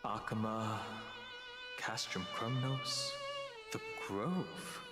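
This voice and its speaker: A man's voice: lowish voice, huge pitch swings, extremely slow, exaggerated voice. An actor playing a dry, reserved and fussy young man in scripted video game dialogue. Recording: echoey, long reverb. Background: game music.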